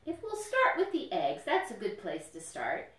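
A woman hosting a children's storytime, explaining nature to young listeners in simple, expressive language. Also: medium pitch, normal speed. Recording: roomy echo, distant microphone.

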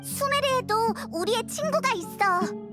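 Very high, excitable childlike girl companion. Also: measured pace, loud music behind the voice. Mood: earnest. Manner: exclaiming, stammering when flustered. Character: excitable childlike female travel companion, chirpy and easily startled. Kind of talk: Korean game dialogue voice acting.

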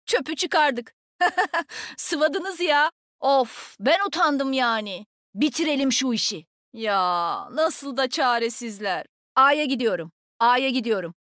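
A woman's voice: high voice, lively intonation, slow, fairly bright tone, no room sound, studio quality, heavily acted. A woman playing a cocky, nimble young female agent in a shooter game, with a sassy, brash, taunting manner. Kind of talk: video game combat voicelines.

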